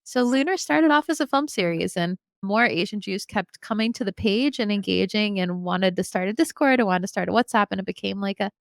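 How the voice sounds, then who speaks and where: A young woman, a community organizer, talking casually on a podcast and telling the story with animated, acted-out quotes. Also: medium pitch, a little fast, gravelly, hardly any pauses, dry recording, clean studio sound.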